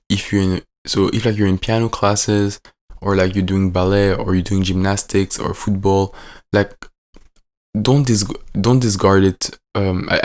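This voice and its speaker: A man's voice: low-pitched, darkish tone. A man at the end of his teens with a French accent, a native French speaker, talking in a casual, rambling way with lots of fillers and restarts. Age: late teens. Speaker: vlogger. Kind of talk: casual reflective vlog.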